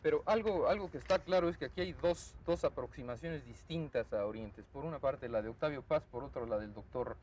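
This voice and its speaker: A man's voice: medium pitch, darkish tone, highs cut off. Formal male TV interviewer, faint hiss. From Mexico. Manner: measured, formal, hesitant with "eh". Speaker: host, interviewer.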